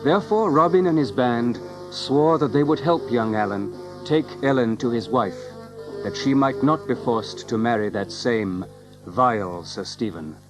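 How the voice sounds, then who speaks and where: An actor serving as narrator of a merry old English legend, telling it in measured, literary storybook language. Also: male voice, medium pitch, music in the background, lightly acted, low-quality recording. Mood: measured storytelling.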